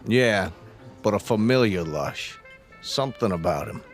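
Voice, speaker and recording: A gangster boss in a radio drama. The voice is very deep, surly and commanding, tossing out dismissive threats over quiet background music. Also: male voice, animated, measured pace. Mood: menacing.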